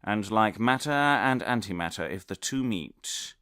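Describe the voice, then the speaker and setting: A man's voice: on the low side, animated, measured pace, sharp tone, clean tone, exaggerated voice. An actor playing an earnest, muddled, pompous male academic obsessed with conspiracy, giving a theatrical, digressive comic lecture. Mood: lecturing, dramatic. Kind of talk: comic monologue delivered as a scholarly lecture.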